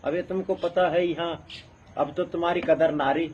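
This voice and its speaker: A man's voice: on the high side, steady tone, normal speed, a little mellow, dull audio, slight background noise. A man with a rural Haryana-Rajasthan accent telling oral history as a traditional community storyteller, emphatic and repeating phrases in a building chant. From North India.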